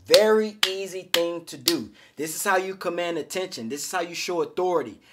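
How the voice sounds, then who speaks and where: A man coaching other men on social skills in a casual, energetic monologue, full of slang and swearing, calling viewers G and fellas. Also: high voice, brisk, heavy background noise. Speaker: self-improvement coach.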